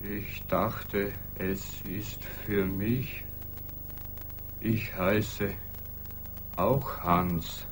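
An actor playing a gruff male neighbour in a German radio play. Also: fairly low pitch, steady tone, extremely slow, pauses often, slight background noise. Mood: grumbling.

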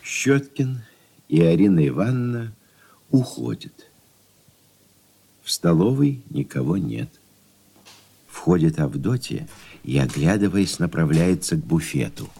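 A man's voice: sits low, sing-song, unhurried, pauses often, warm tone, husky. A man reading scripted stage directions as the narrator of a radio drama.

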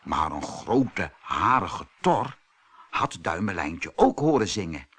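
A man reading a children's fairy tale as a storyteller, expressive and deliberate in his delivery. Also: sits low, husky. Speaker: storyteller, audiobook reader.

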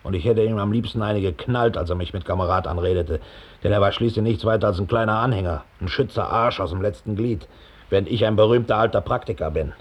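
A hulking middle-aged fanatic gone to seed in a radio drama. His voice is low and rough with huskiness. He tells his story with sour contempt and a swollen sense of his own importance. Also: fast talker. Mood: resentful. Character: big, slovenly, resentful old Nazi underground operative. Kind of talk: radio drama monologue, police statement. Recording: 1960 studio radio play.

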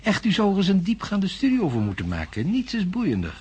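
An actor playing an impatient, self-assured professor of science in a radio drama, speaking formally and pedantically. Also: male voice, sits high, wildly swooping pitch, a little fast, darkish tone, breathy edge, heavily acted. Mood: brisk, impatient. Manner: formal, pedantic, emphatic lecturing.

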